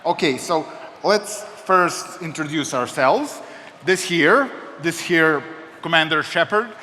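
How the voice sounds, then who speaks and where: A man's voice: on the high side, animated, takes it slowly, pushed and bright. A young man from Serbia giving a joking, self-mocking talk as a convention panelist in a lecture hall. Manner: wry, self-mocking humor. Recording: reverberant hall with faint noise.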